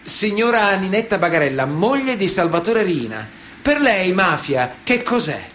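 An actor playing a stern, ironic chronicler, narrating a radio drama in clipped phrases with dramatic pauses. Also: male voice, sits high, big pitch swings, fairly bright tone, slight background noise. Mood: grave, declamatory.

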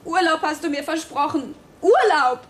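A brash young gangster girl in a radio drama. Her voice is high, and she talks in snappy slang with a reproachful, disillusioned edge. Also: lively intonation, moderate pace. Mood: angry, reproachful. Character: brash, disillusioned young woman, robber's accomplice.

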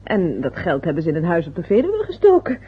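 A very breathy young fiancée in a radio play, polite and eager to please, with soft nervous laughs. Her pitch sits in a middle range. The recording is dull and lo-fi, from an old radio broadcast. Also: wildly swooping pitch, fast, exaggerated voice. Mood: earnest. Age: young adult.

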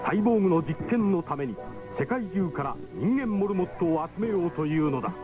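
A man's voice: sits high, expressive, brisk. A man narrating a 1970s radio drama in an exclaiming, theatrical way. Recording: dull lo-fi radio recording with music.

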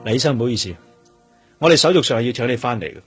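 Radio drama detective , male actor: Hong Kong Cantonese, mid-pitched, measured, persistent questioner, music in the background. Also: animated, lightly acted. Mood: polite, probing. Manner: measured, questioning, with noncommittal hums. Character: probing male CID police detective, calm and persistent.

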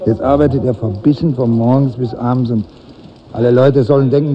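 An actor playing a cynical, gossipy small-town newspaper editor in a 1950s radio drama, chatty and wry, with a gravelly voice. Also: male voice, mid-range voice, lightly acted. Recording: dull old radio recording, slight noise.

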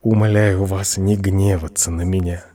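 An actor playing a humble, hopelessly devoted poor admirer in an audio drama, speaking a formal letter with reverence. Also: male voice, sits low, level tone, moderate pace, dark tone, dry recording. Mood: reverent, pleading.

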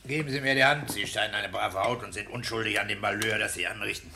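An actor playing a pompous, self-satisfied petty-bourgeois husband and landlord in a declamatory 1960s German radio play style. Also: male voice, on the low side, fast, vocal fry, noisy background. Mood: solemnly pompous. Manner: pompous, declamatory, bullying joviality.

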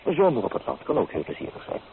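A man's voice: medium pitch, huge pitch swings, very fast, gravelly. A man playing a teasing, flamboyant young bohemian painter in a radio play, theatrical and playfully mocking. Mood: exuberant, charming. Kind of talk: Dutch radio play acting. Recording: tinny old radio recording with hiss.

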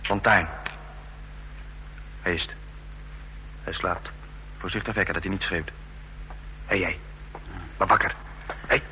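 Low, very sharp, commanding rescuer. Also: male voice, steady tone, slow, long pauses, exaggerated voice, poor audio. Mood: urgent, hushed command. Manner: terse, urgent, commanding. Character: brisk, commanding rescuer on a secret prison break. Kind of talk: radio play acting. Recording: old radio recording with faint hum.

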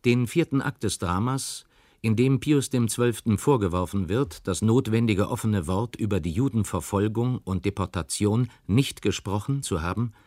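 A formal male narrator played by an actor reads documentary commentary in a radio play. His voice is lowish and factual, in a dry studio sound. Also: normal speed, darkish tone, no echo, close to an everyday voice. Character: formal male narrator reporting a critic's verdict.

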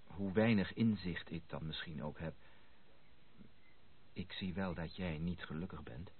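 An actor playing an earnest, sympathetic gentleman with a husky voice and courteous manner in a radio drama. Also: low-pitched, a little slow, a little hiss or hum. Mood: earnest, tactful. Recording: tinny, band-limited old radio recording.

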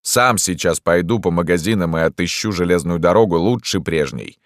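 A man's voice: low-pitched, normal speed, no room sound, clean studio sound, lightly acted. A man voicing a cocky, flippant fellow with boyish eagerness in an audiobook. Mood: breezy.

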